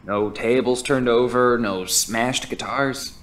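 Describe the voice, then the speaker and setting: Man acting as amateur sleuth, earnest, fussy, self-important, middle pitch, scripted podcast drama monologue. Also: fairly even intonation, slow pace, a bit bright. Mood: probing. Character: earnest, self-important male keyboardist turned amateur investigator. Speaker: podcast host and synth player.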